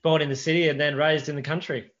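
A man's voice: on the high side, level tone, fast, a bit bright, highs cut off. A man co-hosting a podcast, making casual comments and laughing with the guest. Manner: friendly, laughs, adds comments. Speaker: podcast co-host. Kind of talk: casual interview chat.

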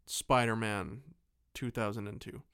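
A man's voice: on the low side, steady tone, unhurried, vocal fry, a bit bright, clean tone, no echo. Man chatting casually, close dry recording. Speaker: podcast co-host. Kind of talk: casual movie chat.

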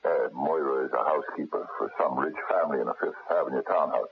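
An actor playing a gruff, wry Irish-American ex-policeman private eye in a radio drama, with a gravelly, rough voice. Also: very deep voice, quick pace, a little hiss or hum. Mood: scornful, blunt. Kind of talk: 1970s radio drama acting. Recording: muffled phone-like vintage radio recording.

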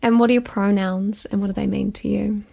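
Enthusiastic podcast hostess. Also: female voice, medium pitch, flat delivery, brisk, gravelly, mellow. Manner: bubbly, enthusiastic. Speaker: podcast host. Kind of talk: scripted intro and casual interview.

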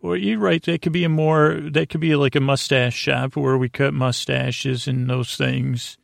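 A man with a creaky voice hosting a bedtime podcast in a lulling, friendly way, meandering and halting through tangents. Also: mid-range voice, steady tone, dry recording, studio quality. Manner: meandering, halting, tangent-prone, self-interrupting. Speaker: podcast host. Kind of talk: rambling bedtime monologue to help listeners sleep.

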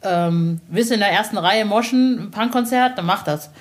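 A woman's voice: mid-range voice, normal speed. A woman chatting casually in a candid, informal and colloquial way. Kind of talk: casual chat.

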